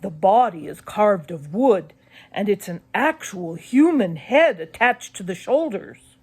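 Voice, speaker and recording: Woman voicing a dramatic showman dad, lively pitch. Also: husky. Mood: ominous, relishing. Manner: dramatic, drawn-out storytelling. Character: showman-like dad relishing creepy tales of curiosities. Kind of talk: children's audiobook character voice.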